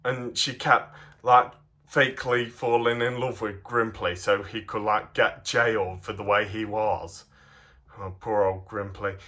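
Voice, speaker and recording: A man giving a casual, enthusiastic show-and-tell as a hobbyist, rambling and halting in mid-sentence. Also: on the low side, fairly even intonation, sharp tone. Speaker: hobbyist presenter of custom toy figures.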